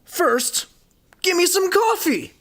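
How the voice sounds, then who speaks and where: Pushy, high-pitched client voiced by a woman. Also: sing-song, slow, a bit bright, exaggerated voice. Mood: demanding. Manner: brash, folksy, demanding. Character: pushy, indignant, demanding detective agency client. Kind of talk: comic audio drama dialogue.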